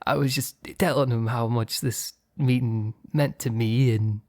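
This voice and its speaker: A man playing a mob boss boyfriend, blunt yet flustered, in an intimate ASMR roleplay with a breathy, halting delivery. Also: medium pitch, a little mellow. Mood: nervous, flustered. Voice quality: breathy, husky, often near whisper. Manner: halting, sighing, blunt, swearing.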